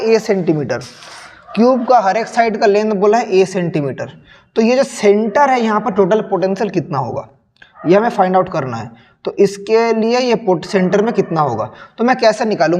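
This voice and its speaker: A young male physics teacher with a sore, hoarse throat, slowly working through a sample paper and repeating questions to his students. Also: high-pitched, darkish tone. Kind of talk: solving a sample paper on screen. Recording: long reverb.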